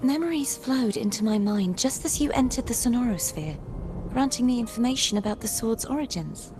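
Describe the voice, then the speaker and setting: An actress voicing a gentle, mysterious young maiden in a video game, speaking in polite, measured, formal phrases. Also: female voice, fairly high pitch, animated, background noise, close to an everyday voice. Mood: searching, puzzled. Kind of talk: scripted video game voice acting. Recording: music under the voice.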